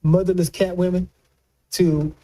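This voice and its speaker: A man's voice: sits high, little pitch movement, unhurried, mellow. A man giving casual political commentary, speaking emphatically and repeating phrases for stress.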